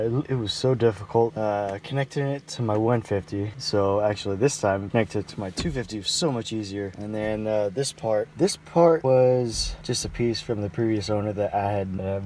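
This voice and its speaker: A young man rambling casually for a vlog in a halting, meandering way that often trails off. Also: on the low side. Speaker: vlogger. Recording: loud vehicle and outdoor noise.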